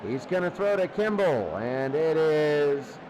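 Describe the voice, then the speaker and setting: A man's voice: fairly high pitch, sing-song, takes it slowly, lo-fi sound. A man calling live football play-by-play as a sports announcer. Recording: loud crowd noise behind voice. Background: loud stadium crowd.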